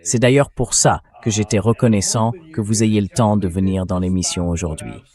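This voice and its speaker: Man, low voice, political show host, synthetic translated voice-over. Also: steady tone, normal speed, a little mellow.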